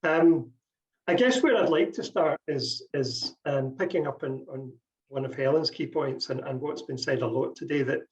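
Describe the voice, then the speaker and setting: A man with a Scottish accent speaking earnestly in a webinar about charity fundraising, with frequent ums and repeated words. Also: medium pitch. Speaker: charity fundraising director on a panel. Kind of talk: prepared webinar presentation. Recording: dull sound from a distant mic.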